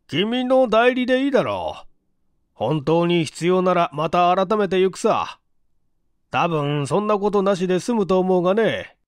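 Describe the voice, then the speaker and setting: A man reading aloud as a weary, wry senior chief investigator in an audiobook, unhurried and casually blunt. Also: sits high, animated, clean tone, dry recording, studio quality, voice pushed up.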